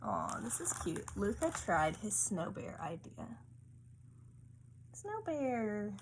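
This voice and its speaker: A woman's voice: medium pitch, wildly swooping pitch, slow. A woman reading a picture book aloud to a child, often stopping to ask coaxing little questions. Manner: asks coaxing tag questions of a child listener. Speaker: storybook reader. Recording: faint background room noise.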